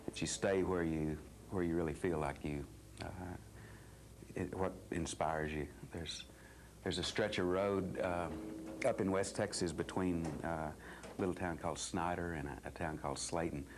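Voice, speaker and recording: A man songwriter in an interview, talking haltingly with many restarts. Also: low voice, fairly even intonation, a little hiss or hum. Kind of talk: hesitant interview talk.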